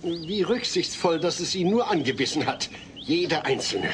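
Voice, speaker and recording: A man's voice: a little high, animated, moderate pace, lightly acted. An actor playing a courteous, anxious suburban father in a sitcom audio drama. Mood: dry. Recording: noisy old cassette.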